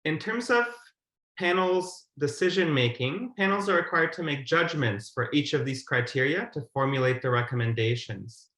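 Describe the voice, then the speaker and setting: A man in his thirties gives a prepared webinar presentation in a formal, measured way over a video call. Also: a little high, lively intonation, bright, forceful tone. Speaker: guideline methodologist, webinar presenter. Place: indoor room on a video call. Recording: roomy, echoing webcam audio.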